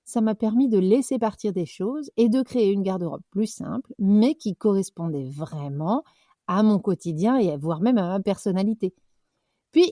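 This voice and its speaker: A young woman hosting a podcast, reflecting out loud in a loose, conversational way with fillers and self-corrections. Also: medium pitch, no echo, clean studio sound. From French-speaking, living abroad. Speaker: podcast host. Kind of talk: personal reflective monologue.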